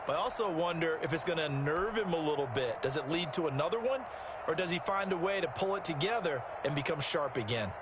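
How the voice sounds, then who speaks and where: A man's voice: fairly high pitch, lively intonation, fast, lightly acted. A man voicing a thoughtful color commentator in an American football video game, explaining conversationally in an American accent. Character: male football analyst, thoughtful former-player type. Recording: very noisy gameplay capture.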